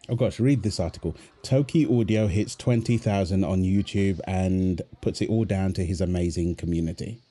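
A man playing a playful, doting young husband and father in a soft, tender ASMR roleplay, teasing as he talks. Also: low-pitched, husky. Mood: relaxed. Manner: teasing, tender, chuckles often while talking. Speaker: audio roleplay performer. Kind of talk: ASMR boyfriend roleplay, one-sided dialogue.

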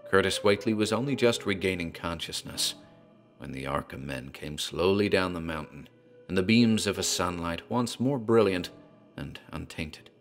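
A man narrating a horror story in a dark, deliberate, theatrical way. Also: sits low, soft background music.

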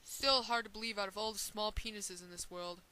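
A woman voicing a gruff, aloof young male swordsman, deadpan, in a fan parody dub of a game. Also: mid-range voice, fairly quick, a little hiss or hum, natural voice.

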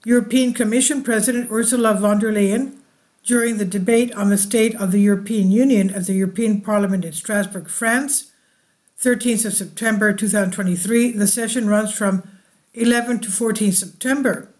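A woman reads a news article aloud in a slow, measured voice-over, pausing to add asides for her viewers. Her pitch sits in an ordinary middle range. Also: steady tone, a little mellow. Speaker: channel host reading news.

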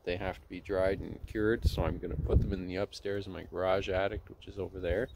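A man with a fairly low voice talks through a laid-back product review outside his house. He chuckles and rambles a bit as he films on a handheld phone. Steady outdoor noise sits under the voice. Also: fairly quick, gravelly. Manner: relaxed and chatty, with chuckles and fillers. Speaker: homesteading vlogger.

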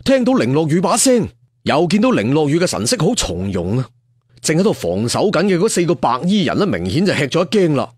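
Husky, animated male Cantonese storyteller. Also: fairly high pitch, a little fast, dry recording, studio quality. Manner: animated oral storytelling with conversational asides. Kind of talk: dramatic martial arts story narration. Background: faint music.

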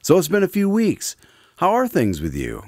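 A man's voice: sits high, wildly swooping pitch, normal speed, a little mellow, no echo, studio quality. A man hosting a radio drama, chatting with the main character in a friendly, conversational way. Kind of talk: scripted host chat.

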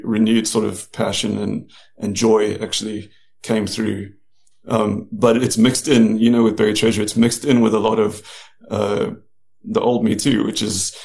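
Gravelly low-voiced man. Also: a little mellow. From New Zealand and South Africa. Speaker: guest, novelist. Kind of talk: casual interview storytelling.

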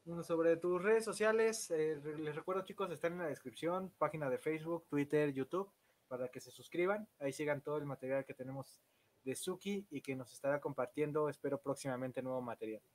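A man's voice: fairly high pitch, fairly quick. A man with a Mexican accent, casually hosting a live podcast and reading out comments from viewers. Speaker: podcast host.

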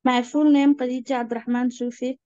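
A woman from Somalia with a Somali accent, a beginner learner answering test questions in halting English. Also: high-pitched, little pitch movement, dark tone, no room sound, lo-fi sound. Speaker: student candidate.

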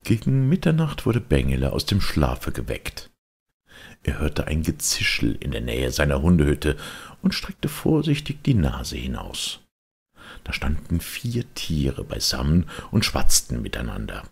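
Casting: male audiobook narrator, extremely low husky voice, expressive storybook reading. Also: unhurried.

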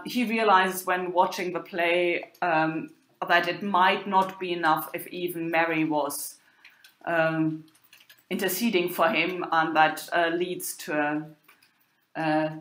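A woman university lecturer talking over slides, hesitant, with many fillers and pauses. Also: fairly low pitch, a bit bright. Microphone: recorded voice-over for slides. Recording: roomy echo.

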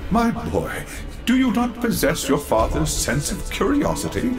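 Eccentric old scientist, high-ish swooping voice, formal, curious, game cutscene, loud music behind. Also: male voice, very animated, unhurried. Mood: musing. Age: older. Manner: pedantic, formal, theatrical phrasing. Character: eccentric, curious older male scientist father. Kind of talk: video game cutscene acting.

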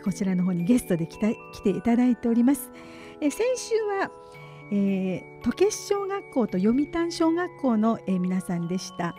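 A middle-aged woman hosting live community radio, announcing and interviewing in polite, conversational phrasing. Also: on the high side. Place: small community radio studio. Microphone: studio boom microphone with headset headphones. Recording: soft background music behind studio voice. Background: faint music.

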